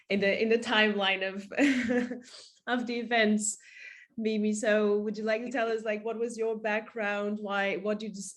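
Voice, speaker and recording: A woman moderating an online panel discussion over a video call, friendly and often restarting her phrases. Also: mid-range voice.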